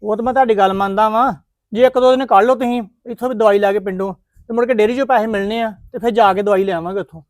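A man's voice: high voice, fairly even intonation, rapid-fire, dry recording, studio quality, lightly acted. An actor playing a dutiful son in a family drama, reassuring his mother about her medicine.

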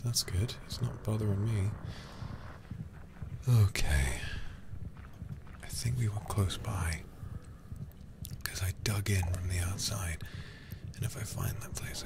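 A man livestreaming a game in hushed, breathy whispers, ASMR style, close to the microphone. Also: fairly low pitch. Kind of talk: whispered ASMR gameplay commentary.

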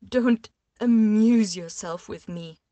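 A woman's voice: medium pitch, takes it slowly, warm tone, dry recording, lightly acted. A woman voicing a distraught young woman who pleads through tears, her voice trembling and breathless. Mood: tearful defiance. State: crying, hyperventilating.

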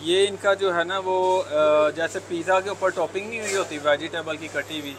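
Young lahori guy vlogging a bakery, kinda high voice, chatty and hyped, crowd noise and traffic behind. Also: normal speed, poor audio. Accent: Lahori Urdu. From Pakistan. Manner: chatty, says "yaar" often, enthusiastic. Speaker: food vlogger. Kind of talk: casual food vlog walkthrough. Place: busy bakery shop indoors. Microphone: lapel mic.

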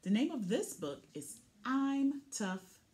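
A middle-aged woman reading a picture book aloud to children in a deliberate, expressive way, recorded indoors in a small room. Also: sits high. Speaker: read-aloud storyteller.

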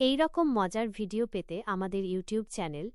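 A woman's voice: mid-range voice, lively intonation, moderate pace, a little mellow, smooth, no echo, clean studio sound. A woman reading a scripted promotional announcement as a channel announcer.

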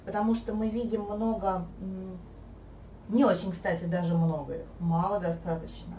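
A woman in her thirties, a guest on a sofa in a living room, talking in a thoughtful, measured way, recorded by a camera across the room. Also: mid-range voice, fairly even intonation, dark tone. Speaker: guest, fairy-tale therapist. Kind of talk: casual conversation. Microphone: fixed camera across the room. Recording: echoey room, mic at a distance, faint hiss.

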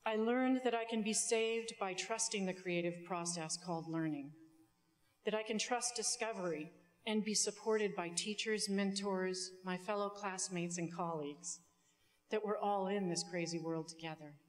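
A woman's voice: medium pitch, moderate pace. Echoing middle-aged woman giving a speech. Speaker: student commencement speaker. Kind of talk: prepared public speech with some ad-libs. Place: indoor auditorium stage. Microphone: podium microphone. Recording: long hall reverb from stage sound system.

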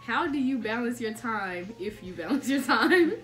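A young woman from Tennessee hosting a relaxed group chat with friends, laughing easily and using slang. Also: on the high side, normal speed, over loud music. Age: young adult. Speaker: host. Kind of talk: casual chat. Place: dorm room with string lights.

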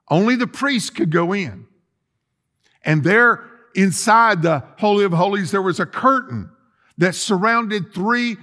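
A man's voice: high voice, fairly bright tone. A middle-aged man preaching as a pastor in a church, explaining things conversationally and putting questions to his listeners. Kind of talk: sermon, conversational teaching. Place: church sanctuary, indoors.

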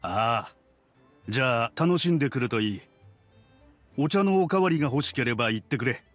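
A polite middle-aged shopkeeper in an anime game. His voice is of average pitch, with a considerate, avuncular manner and measured delivery. Faint music runs behind it. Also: animated, fairly bright tone. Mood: gentle.

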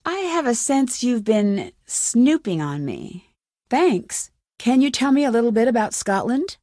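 Young american girl detective in a video game, kinda high voice, nosy n determined, super dry studio sound. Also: big pitch swings, a little slow, mellow, no echo. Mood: polite, curious. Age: young adult. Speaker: video game lead character. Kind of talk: scripted game dialogue.

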